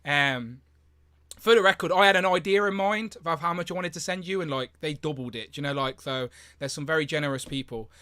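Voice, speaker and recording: A man hosting a live stream, his voice going hoarse, rambling with plenty of fillers and restarts. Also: on the high side, fairly quick, sharp tone, no echo. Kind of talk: casual live stream chat.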